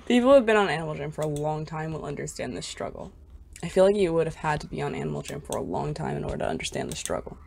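A young woman talks over her gameplay in a chatty, bubbly way, sighing over how cute everything is. Her voice is scratchy and stuffed up from allergies, with a low pitch and a lot of gravelly fry at the ends of her words. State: congested, scratchy voice. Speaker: gaming YouTuber. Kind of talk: casual gameplay commentary.

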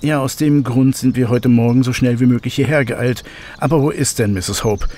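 An actor playing a courteous, excitable professor and technology expert in a German audio drama. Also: male voice, medium pitch, normal speed, lightly acted. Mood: puzzled.

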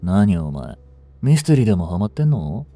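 Audio drama role: languid young tough guy voiced by a man, low-pitched with swooping intonation, gruff, teasing childhood friend. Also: very animated, normal speed, warm tone, no room sound. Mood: casual, teasing. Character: languid, blunt young male delinquent, protective childhood friend. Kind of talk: intimate Japanese audio drama acting.

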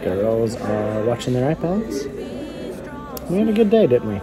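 A man's voice: medium pitch, sing-song, unhurried, loud music behind the voice. A man in his thirties with a North American accent, chatting casually to camera as a family travel vlogger. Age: 30s. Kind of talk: casual vlog chat to camera. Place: cafe-like hostel bar and outdoor beer garden. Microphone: camera at arm's length.

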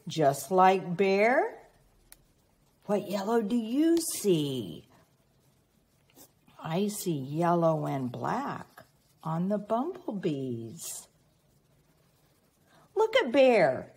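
Very slow, animated woman reading to children. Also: lowish voice, husky. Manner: teacherly, prompting with questions and pauses. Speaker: children's read-aloud reader. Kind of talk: expressive picture-book read-aloud with questions to young children.

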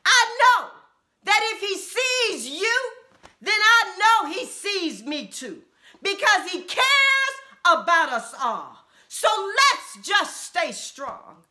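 A middle-aged woman pastor preaching a sermon from a small room at home, with impassioned repeated refrains and drawn-out emphasis. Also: extremely high pitch, sing-song, pushed and bright.

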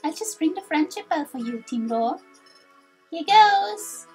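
A woman's voice: very high voice, lively intonation, a little slow, darkish tone, soft background music, voice pushed up, exaggerated voice. A woman voicing a cheerful, helpful little chime creature in a video game, polite and chirpy.

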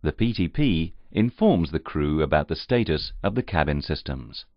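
A man reading scripted instructional narration in a formal, measured, carefully enunciated way. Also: rumbling low, lively intonation, gravelly, no echo. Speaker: training narrator. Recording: slightly muffled, dull audio.

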